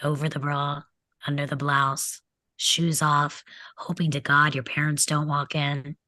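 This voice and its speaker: A woman's voice: deep voice, flat delivery, measured pace, sharp tone, no room sound. A woman reading the part of a mocking, crude teenage delinquent boy at a table read of a film script. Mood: taunting. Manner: mocking, needling, insolent.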